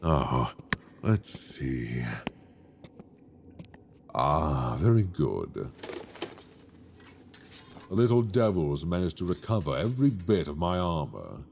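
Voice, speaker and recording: A man voicing a possessive, teasing dragon king in human form in an ASMR audio roleplay, speaking slowly and intimately into a binaural mic. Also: sing-song, a little hiss or hum, full character voice. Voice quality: deep, gravelly. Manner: slow, intimate, teasing, soft-spoken.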